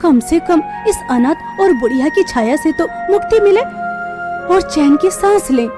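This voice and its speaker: Woman acting a shrill spiteful scolding aunt. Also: expressive, a little slow, over music. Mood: scornful, angry. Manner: accusing, scornful. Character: spiteful scolding aunt, cruel household bully. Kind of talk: melodramatic moral-story cartoon acting.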